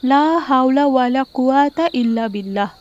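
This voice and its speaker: A woman playing a gentle, devout, long-suffering mother in a moral cartoon, tender and preachy. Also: high voice, normal speed, close to an everyday voice. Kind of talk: Islamic moral cartoon voice acting. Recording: muffled, with music behind.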